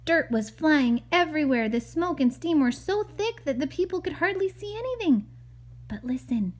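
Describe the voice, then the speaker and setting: A woman's voice: high-pitched, animated, moderate pace. A woman reading a picture book aloud to a child as a mother, expressively, with chatty asides and questions.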